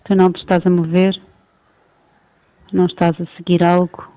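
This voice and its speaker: A woman with a European Portuguese accent interpreting a guided meditation in calm, measured short phrases. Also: lowish voice, highs cut off.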